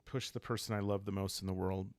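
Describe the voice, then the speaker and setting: Calm guy doing solo rpg storytelling for sleep, low gravelly voice, hesitant, thinking out loud, really dry close sound. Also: no room sound. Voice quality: soft, calm. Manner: hesitant, thinking aloud with long pauses and sighs. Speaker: host and player of a solo roleplaying game. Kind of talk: calm unscripted storytelling for sleep, journaling game playthrough.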